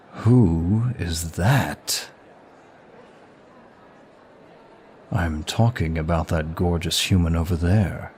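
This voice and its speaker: Male actor as seductive demon, very low voice, suave, smug, flirtatious, ASMR roleplay, faint background noise. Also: darkish tone. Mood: entranced, hungry. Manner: suave, teasing, formal flirtatious phrasing. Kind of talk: intimate ASMR audio roleplay to the listener.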